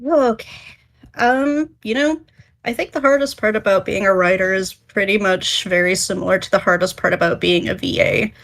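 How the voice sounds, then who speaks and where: A woman talks in a middle-pitched voice as a guest on a panel. She is earnest and direct, stressing her points as she gives conversational advice about her craft. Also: moderate pace, gravelly, a bit bright. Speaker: writer and podcast panelist.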